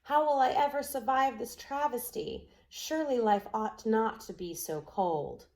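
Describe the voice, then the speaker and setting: A woman's voice: fairly high pitch, expressive, measured pace, a little mellow, pitched above the performer's own voice. A woman voicing a small, frightened young male sandpiper in a storybook, speaking in plaintive, formal words. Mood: fearful lament.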